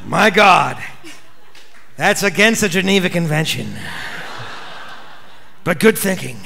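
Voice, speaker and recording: A theatrical high-pitched wisecracking private eye. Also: male voice, very animated, slow pace, creaky, background noise, heavily acted. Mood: wry. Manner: theatrical, wry, sardonic. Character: hard-boiled wisecracking private detective, world-weary narrator, man in his thirties. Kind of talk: comic noir live radio play acting. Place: theatre studio stage with live audience. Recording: live stage radio play, music underneath.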